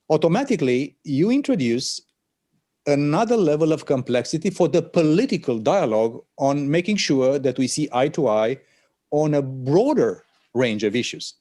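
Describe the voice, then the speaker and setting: Official, male, mid-pitched, mellow; measured delivery with pauses, online panel. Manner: measured, thoughtful, with frequent pauses. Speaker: alliance official answering questions. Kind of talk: panel conversation answer. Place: remote location for an online panel. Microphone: video call.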